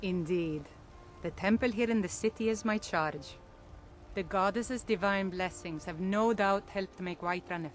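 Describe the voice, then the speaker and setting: An actress playing a devout temple priestess in a fantasy game, speaking in an earnest, measured, formal way. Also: female voice, mid-range voice, natural voice. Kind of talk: scripted fantasy game dialogue.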